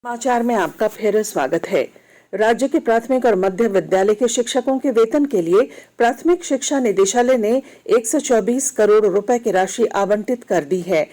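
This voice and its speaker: A woman reads a scripted regional news bulletin in a measured, formal manner. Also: fairly high pitch, mellow. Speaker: news reader.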